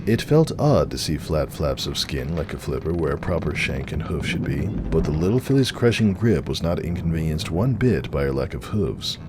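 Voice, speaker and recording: A man's voice: very deep voice, expressive, normal speed, hardly any pauses. A man narrating a story dramatically from a script. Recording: clearly noisy, storm ambience behind.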